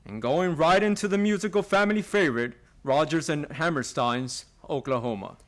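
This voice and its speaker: A man's voice: on the high side, normal speed, fairly bright tone. A man reading a scripted, conversational advertisement for a theater season. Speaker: promo announcer.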